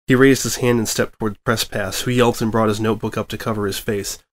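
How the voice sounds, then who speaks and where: A man narrating a fan-written story in a measured, dry reading voice. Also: lowish voice, fairly even intonation. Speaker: fanfic reader.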